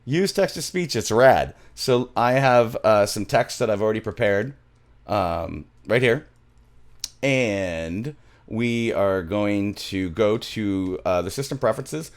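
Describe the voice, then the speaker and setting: A man's voice: lowish voice, expressive, slow. A middle-aged man hosting a music production tutorial in a casual, rambling way, often repeating his phrases. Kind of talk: casual screen-share tutorial talk. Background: music from the software.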